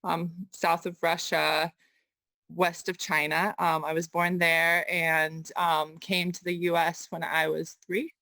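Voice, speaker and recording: A young woman from Kazakhstan, raised in the US, answering interview questions thoughtfully with many pauses and restarts. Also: on the low side, flat delivery, pushed and bright, no room sound. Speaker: guest artist. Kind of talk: casual interview conversation.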